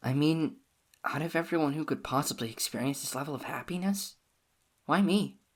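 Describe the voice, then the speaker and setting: A woman's voice: low-pitched, moderate pace, breathy edge. A woman playing an obsessive, clingy lover. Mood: quietly sinister. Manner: soft, whispery, pleading. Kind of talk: audio roleplay addressed to listener.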